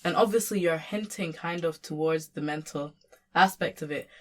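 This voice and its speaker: A woman's voice: low-pitched, moderate pace. A young woman from Sudan, a guest and community worker, talking in a casual conversation with many fillers and restarts.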